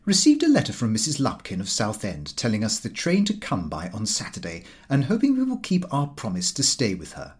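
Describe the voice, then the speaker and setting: Man, audiobook reader, highly animated pitch, fussy and indignant comic narration. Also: brisk, few pauses. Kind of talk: scripted narration of a comic diary novel.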